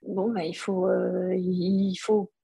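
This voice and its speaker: Husky reflective woman founder. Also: lowish voice, level tone, slow, dark tone, no room sound, rough recording. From Algeria. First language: Arabic. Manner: reflective, hesitant with many fillers. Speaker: guest, brand founder. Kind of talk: podcast interview.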